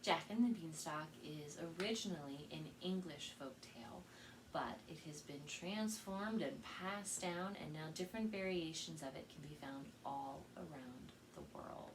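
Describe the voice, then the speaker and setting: An American woman working as a children's librarian, telling stories and presenting to kids in an expressive, carefully spoken way. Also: deep voice, measured pace. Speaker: children's librarian and storytime host. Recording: distant mic with faint background noise.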